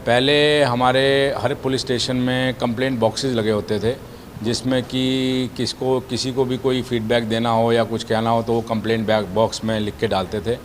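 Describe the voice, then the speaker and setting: A man's voice: mid-range voice, level tone, moderate pace, slight background noise. A man speaking as a police official in an explanatory media statement, mixing English words and phrases into his speech. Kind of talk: spoken statement to news media.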